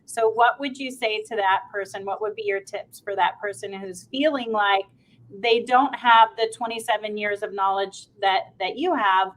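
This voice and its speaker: Female co-host, medium pitch, conversational interviewer, informal and encouraging. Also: fairly even intonation, moderate pace, a bit bright, rough recording. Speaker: co-host asking questions.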